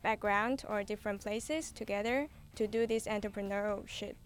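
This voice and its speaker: A young woman, an exchange student guest on a radio interview, answering with hesitant pauses. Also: medium pitch. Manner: hesitant, fillers.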